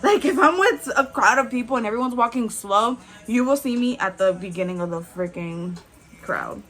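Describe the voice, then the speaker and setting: A woman in her twenties chatting candidly as a beauty vlogger while doing her makeup, with frequent "like"s and soft laughs. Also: a little high, animated, normal speed, soft background music. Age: 20s.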